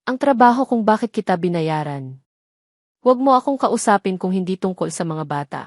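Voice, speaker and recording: A woman's voice: mid-range voice, animated, a little fast, a little mellow, dry recording, studio quality, lightly acted. A woman voicing a cold, distrustful, wounded man in an audio romance drama, speaking curtly. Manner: curt, cold.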